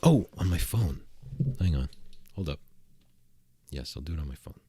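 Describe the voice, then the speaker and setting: Very deep-voiced man streaming, crude jokes. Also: animated, moderate pace, dark tone, breathy edge, dry recording. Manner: crude, provocative joking, rambling. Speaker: streamer and channel host. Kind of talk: casual livestream chat. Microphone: desk mic at a computer.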